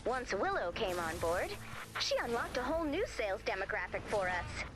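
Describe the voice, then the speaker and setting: A woman's voice: mid-range voice, sing-song, normal speed, lightly acted, low-quality recording. A woman voicing a theatrical saleswoman in a video game, telling her story dramatically with dry asides. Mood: grand, rueful. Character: theatrical woman pitching her clothing business as horror. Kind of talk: video game character voice acting. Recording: music behind the voice.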